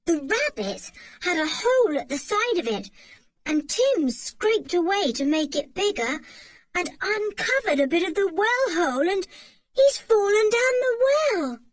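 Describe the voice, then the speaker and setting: A woman's voice: very high-pitched, very animated, measured pace, no echo, heavily acted, rough recording. A woman reading a storybook aloud, voicing a sensible older boy who explains things earnestly. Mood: urgent. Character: boy Julian, sensible eldest of the children.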